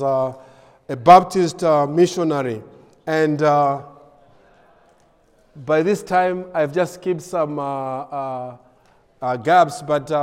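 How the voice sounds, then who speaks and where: A man from Malawi, a pastor and missionary in his thirties or forties, giving a ministry update with frequent pauses and fillers. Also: a little high, a little mellow, clean tone, in a large hall. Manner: hesitant, many filler pauses.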